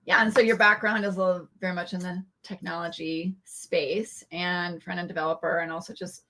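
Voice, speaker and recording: Bright, gravelly-voiced woman host. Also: fairly low pitch, flat delivery, fairly quick, rough recording. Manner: friendly, chatty, many fillers and restarts. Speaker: podcast host. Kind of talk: casual interview chat.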